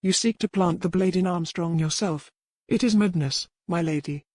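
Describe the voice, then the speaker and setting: A woman's voice: fairly low pitch, normal speed, a little mellow, no room sound, studio quality, lightly acted. Woman playing a world-weary old servant woman. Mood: urgent. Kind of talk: dramatic audiobook character reading.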